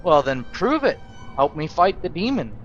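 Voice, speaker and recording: A man with a fairly high voice narrates his role-play of a video game. He feels his way through the story in halting phrases, with clear game noise behind him. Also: big pitch swings, highs cut off. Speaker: gaming video host. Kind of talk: improvised role-play narration over gameplay. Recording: noisy game audio behind voice. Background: video game ambience.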